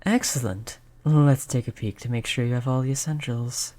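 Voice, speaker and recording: A performer voicing a polite vampire who looks like a child, gentle, reassuring and chatty in an audio roleplay. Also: mid-range voice, moderate pace, natural voice. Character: polite vampire turned young, stuck looking like a child. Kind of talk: audio roleplay spoken to the listener.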